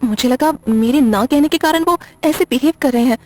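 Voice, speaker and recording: A woman's voice: high voice, moderate pace, natural voice. A woman playing a nervous, apologetic young librarian in an audio drama, hesitant, stammering and defensive. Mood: uneasy, confessing. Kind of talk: Hindi audio drama acting.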